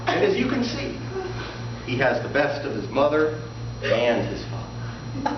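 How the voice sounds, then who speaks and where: A man performs comic storytelling live, theatrical and deliberate, with pitch that swings widely and a slow pace full of pauses. He is recorded from a distance in an echoey room, with heavy noise over his voice. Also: huge pitch swings, lo-fi sound, crowd noise. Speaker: storyteller in a tall-tale contest. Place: indoor room before a live audience, recorded from afar.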